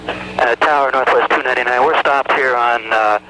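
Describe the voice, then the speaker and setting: A man's voice: mid-range voice, fairly even intonation, normal speed. Male airline pilot on noisy cockpit radio. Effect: cockpit aviation radio. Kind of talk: radio communication with air traffic control.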